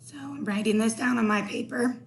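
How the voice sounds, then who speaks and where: A hoarse woman teaching a recorded math lesson, explaining each step slowly. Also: fairly high pitch.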